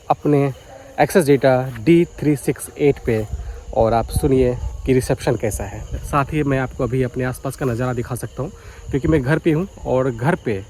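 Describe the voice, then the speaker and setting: A man's voice: mid-range voice, darkish tone, a little hiss or hum. A man giving a casual, explanatory vlog, speaking directly to viewers outdoors in a village. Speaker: vlogger. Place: outdoors in a village by paddy fields.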